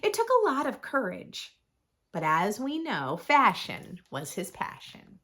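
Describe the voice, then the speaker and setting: A woman narrates a children's picture book with very animated, swooping pitch. She takes her time, pausing for drama and stretching key phrases. Her voice has a soft, husky edge. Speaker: children's storybook reader, host. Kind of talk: expressive read-aloud narration for preschoolers.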